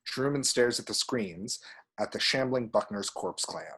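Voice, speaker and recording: A man narrates screen action as scripted stage directions at a table read. His voice is a little husky, with a middle pitch. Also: unhurried, fairly bright tone. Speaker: reader of screenplay action descriptions.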